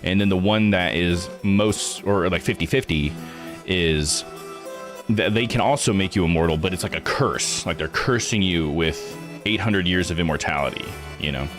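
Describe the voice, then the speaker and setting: A man with a low voice gives casual commentary on a game playthrough as a streamer. He rambles in a chatty, informal way, with music playing clearly behind him. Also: normal speed. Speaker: live streamer and host.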